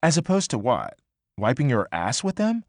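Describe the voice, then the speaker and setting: A man voicing a brash, excitable young woman who talks fast, swears freely and pushes hard. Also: mid-range voice, no echo, clean studio sound, voice pushed up. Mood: breezy. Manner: quick, emphatic, swearing freely. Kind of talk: audiobook character voice.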